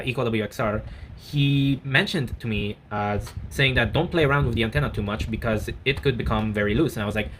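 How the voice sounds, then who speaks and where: A man giving a casual, unscripted product review, speaking haltingly and rambling with frequent self-corrections. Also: mid-range voice, a bit bright, slight background noise.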